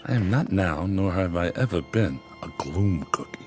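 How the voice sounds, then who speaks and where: An actor in a radio drama playing a weary, defeated newspaper editor with a dry, resigned manner. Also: male voice, low-pitched, animated, normal speed, faint music behind. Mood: gloomy, wry.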